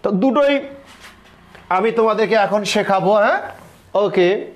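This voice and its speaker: A man's voice: high voice, a little slow. A middle-aged man with a Bangladeshi accent teaching in a classroom style, didactic, repeating phrases and asking check questions. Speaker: English grammar teacher and board examiner.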